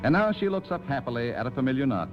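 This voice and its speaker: A man narrating as announcer in scripted 1940s radio style, with dramatic, deliberate storytelling. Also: mid-range voice, very animated, muffled recording. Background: music.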